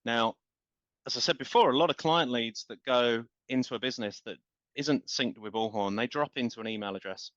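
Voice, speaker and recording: A man's voice: mid-range voice, fairly quick, fairly bright tone, dry recording. Man, business guest, passionate and rambling, dry and muffled. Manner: passionate, talks in long run-on explanations with repeated words. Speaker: guest, marketing company founder. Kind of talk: business podcast interview. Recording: dull, lo-fi.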